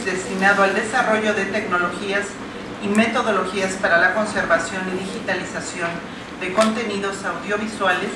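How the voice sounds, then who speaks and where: A woman hosting a conference, formally reading out the introduction of the keynote speaker in a measured way. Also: mid-range voice. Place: large conference hall. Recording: echoey hall from far off, noisy.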